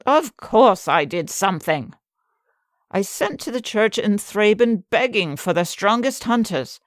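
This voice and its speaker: A woman's voice: mid-range voice, expressive, measured pace, dry recording, studio quality, lightly acted. A woman playing a hard, devout middle-aged prioress, stern and weary, firm and forthright in a dramatized fantasy audiobook. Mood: defensive, frustrated.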